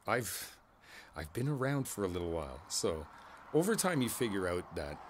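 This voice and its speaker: A man playing a kindly older neighbor in an ASMR roleplay, reflective and candid, talking in a halting, conversational way and repeating his phrases. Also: deep voice, a bit bright, heavily acted. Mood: reassuring, philosophical.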